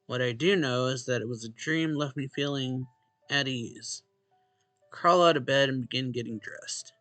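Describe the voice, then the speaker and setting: Man, bright forceful voice, reading visual novel text aloud, let's play host, dry close sound, faint music behind. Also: mid-range voice, moderate pace, no room sound.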